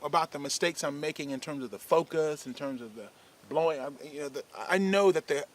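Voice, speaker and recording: Relaxed man outdoors. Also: on the high side, brisk. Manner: relaxed, rambling, demonstrative. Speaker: fishing video creator. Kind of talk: casual gear advice vlog. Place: outdoors under a shade tree. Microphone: shotgun mic on camera.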